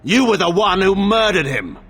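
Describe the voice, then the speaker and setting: An actor playing a proud, aging warlord regent in a fantasy game, declamatory and scornful. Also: male voice, sits high, sing-song, moderate pace, fairly bright tone. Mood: cold, defensive. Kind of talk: theatrical fantasy game acting.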